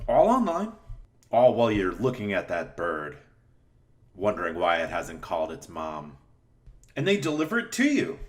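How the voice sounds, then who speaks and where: A man doing a tongue-in-cheek, mock-earnest comedic sponsor read for a podcast. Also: mid-range voice. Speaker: host reading sponsor ad.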